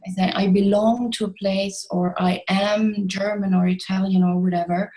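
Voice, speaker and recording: A middle-aged woman talks as a guest in an interview. Her voice is mellow and relaxed, at an ordinary pitch. She explains reflectively and conversationally, slipping in imagined quotes to make a point. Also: normal speed, rough recording. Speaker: interview guest, expat coach.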